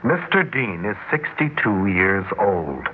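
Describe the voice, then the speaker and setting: Earnest loyal executive aide, low-leaning animated voice, deferential, soothing, vintage radio drama. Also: male voice, takes it slowly. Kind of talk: old-time radio drama acting. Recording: noisy, narrow-band classic radio recording.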